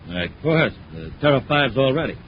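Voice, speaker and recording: An actor playing a crooked, gloating space freight boss, domineering and sly, in a 1950s radio serial. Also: male voice, medium pitch, sing-song, normal speed, close to an everyday voice. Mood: businesslike. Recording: muffled, hissy 1950s radio broadcast.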